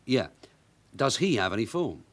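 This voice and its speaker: An actor playing a shrewd, blunt, commanding detective sergeant in a radio drama. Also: male voice, medium pitch, very animated, moderate pace, close to an everyday voice. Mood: businesslike. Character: shrewd, brisk veteran London police detective sergeant.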